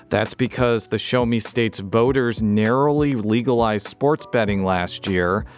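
A man hosting a public radio talk show, reading listener questions with a dry, self-deprecating humor. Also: on the low side.

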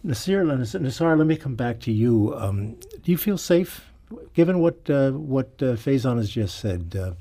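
A man hosting a live radio panel interview, speaking in a halting way with repeated words. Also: mid-range voice, sing-song, mellow. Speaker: radio talk show host. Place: radio studio.

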